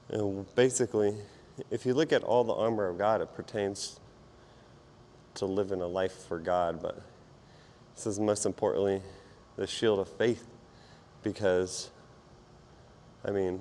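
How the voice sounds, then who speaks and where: A man with a fairly low, hoarse voice chats informally as he works out for a fitness vlog. He rambles in a self-deprecating way between efforts. Clear background noise surrounds his voice. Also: a little mellow. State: hoarse, partly lost voice. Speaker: fitness vlogger. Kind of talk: casual workout vlog chat while exercising.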